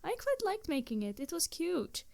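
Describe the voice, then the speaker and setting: A woman's voice: very high voice, big pitch swings, normal speed, gravelly, darkish tone. A woman chatting informally and animatedly to her channel's audience as its host. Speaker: ASMR roleplay creator and host. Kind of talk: casual chat to channel audience.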